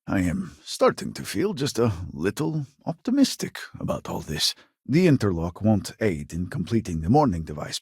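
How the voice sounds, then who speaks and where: An actor playing a weary, devout male scientist in a sci-fi video game, speaking in a formal, measured, earnest way with a husky voice. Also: fairly low pitch, no echo, clean studio sound, exaggerated voice. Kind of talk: scripted video game character dialogue.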